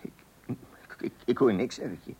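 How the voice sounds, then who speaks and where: An actor playing a bumbling, long-suffering husband in a comic radio play, husky, hesitant and muttering. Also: male voice, moderate pace, a little mellow, lo-fi sound, lightly acted. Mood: sleepy, irritated. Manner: hesitant, muttering, repeating himself. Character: bumbling, gullible, long-suffering middle-class husband and homeowner.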